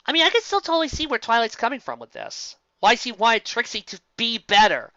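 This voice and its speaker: A woman casually commenting on a fan story she reads aloud. She rambles, restarts and corrects herself, and jumps up in pitch for emphasis. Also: brisk, no echo, highs cut off. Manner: animated, jumping up in pitch for emphasis. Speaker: fan fiction reader and commentator.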